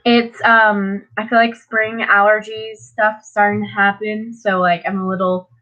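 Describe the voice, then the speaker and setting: A woman's voice: medium pitch, little pitch movement, measured pace, sharp tone, muffled recording. A teenage girl talking casually about her daily Bible reading, stuffed up and sniffling, rambling with lots of fillers. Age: teens. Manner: rambling, many fillers and restarts. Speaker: vlogger. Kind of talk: casual devotional talk.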